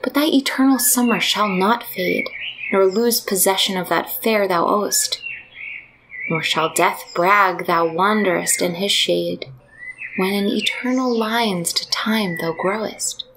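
A woman's voice: mid-range voice, unhurried, background noise. A woman reading classic poetry aloud as a recitation. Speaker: poetry reader. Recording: birdsong behind the voice.

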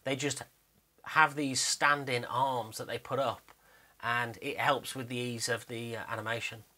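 A man's voice: mid-range voice, steady tone, measured pace, bright, forceful tone. A man narrating a screen-recorded walkthrough as an animator, casual, rambling and a little self-deprecating in how he explains things.

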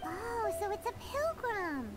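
A woman voicing a tiny, excitable fairy companion girl who talks bluntly and teases. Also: takes it slowly, heavily acted. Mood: teasing. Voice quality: high squeaky childlike voice. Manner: blunt and teasing. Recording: game audio with loud music behind. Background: music.

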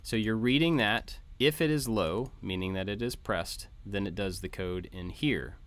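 Male tutorial host, low voice, informal step-by-step screencast narration. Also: measured pace. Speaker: host of a maker tutorial channel. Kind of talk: informal code walkthrough narration. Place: voice-over for a screen recording.

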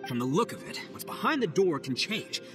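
A brash oni gang boss. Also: male voice, on the high side, big pitch swings, brisk, music in the background. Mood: boisterous. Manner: boisterous, slangy. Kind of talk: video game voice acting.

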